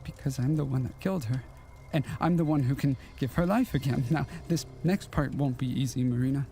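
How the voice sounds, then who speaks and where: An actor in an audio drama playing a ghostly, wistful killer from the 1940s, with halting, old-fashioned courteous speech and quiet menace. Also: male voice, medium pitch, a little hiss or hum, lightly acted. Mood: quietly menacing.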